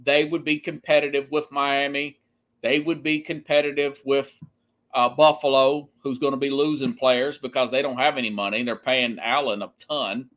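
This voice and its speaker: A man's voice: mid-range voice, flat delivery, normal speed, fairly bright tone. A man hosting a sports podcast, giving an opinionated, conversational monologue and repeating phrases for emphasis.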